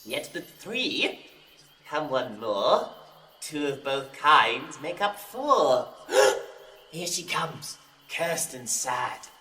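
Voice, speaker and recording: A man's voice: fairly high pitch, big pitch swings, very slow, bright, forceful tone, exaggerated voice. A man playing a mischievous forest sprite in a Shakespearean audio drama, teasing, cackling and sing-song. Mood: gleeful. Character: mischievous male forest sprite, taunting and gleeful. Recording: roomy, distant, faint noise.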